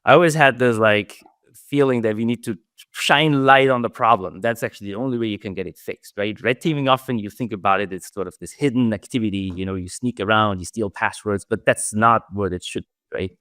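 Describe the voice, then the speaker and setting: Middle-aged Austrian guest, German accent, lowish voice, thoughtful, restarts sentences, close dry studio mic. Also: male voice, fairly quick, smooth, no room sound. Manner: thoughtful, restarts phrases, trails off softly. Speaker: security researcher guest. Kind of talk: casual interview answers.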